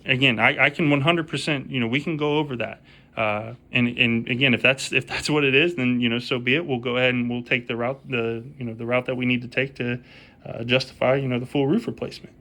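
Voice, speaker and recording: A man's voice: mid-range voice, steady tone, quick pace, pushed and bright. A man speaking on a phone call as a contractor, arguing his case in an insistent, repetitive way. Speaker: roofing contractor. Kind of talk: phone negotiation.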